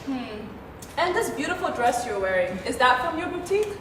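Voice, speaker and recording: Snarky suspicious rich daughter in a play, high swooping voice, big echoey hall. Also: female voice, very animated, fairly quick. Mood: probing, snide. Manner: sarcastic, pointed. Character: outspoken, suspicious adult daughter of wealthy businessman. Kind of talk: stage play acting. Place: theatre stage. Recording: echoey theatre hall, distant, noisy.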